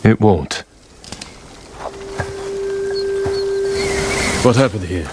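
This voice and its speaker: A man acting as a stoic, determined samurai in a video game, earnest and proud, speaking in a grave, measured, formal way. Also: lowish voice, expressive, music in the background, close to an everyday voice. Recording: clear ambient noise behind the voice.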